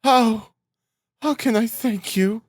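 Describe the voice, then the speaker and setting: A man's voice: very high voice, slow, no room sound, studio quality, voice pushed up, heavily acted. A man voicing an anxious, timid, doting middle-aged mother in an audiobook, pleading and fretful. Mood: tearful. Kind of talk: audiobook character acting.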